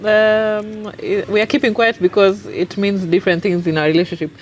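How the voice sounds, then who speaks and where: A woman in her thirties, a pastor's wife and interview guest, lively, laughing often and finishing her husband's sentences. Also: mid-range voice, normal speed, a little hiss or hum, poor audio. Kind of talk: casual interview chat.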